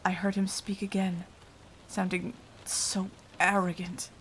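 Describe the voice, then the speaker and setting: A woman playing a haunted, remorseful vampire in an ASMR roleplay, confiding in a hushed, halting, dramatic voice that often drops to a whisper. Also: mid-range voice, little pitch movement, low-quality recording. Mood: pained, bitter. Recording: light rain noise behind voice. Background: rain.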